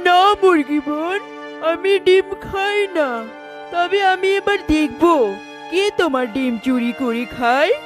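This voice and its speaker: Little cartoon mouse, played by the narrator: high, very slow, deliberate, determined helper. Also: over music. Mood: earnest, reassuring. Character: helpful, determined little mouse, plotting revenge. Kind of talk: children's cartoon character voice.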